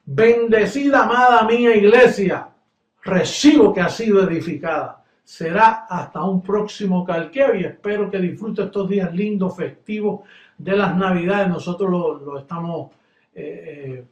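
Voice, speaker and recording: A man from Puerto Rico with a Puerto Rican Spanish accent, preaching fervently and then chatting relaxed as he closes. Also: high voice, unhurried, reverberant. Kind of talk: sermon closing and farewell.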